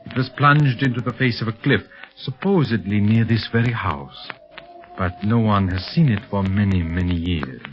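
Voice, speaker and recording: Male actor as suave older villain for vintage radio drama, deep-toned, courtly, guarded, dull old recording. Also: faint music behind, natural voice. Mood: evasive. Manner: formal, courtly, hesitant evasive pauses. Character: aging eccentric villainous inventor, suavely polite, evasive. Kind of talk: 1930s radio serial acting.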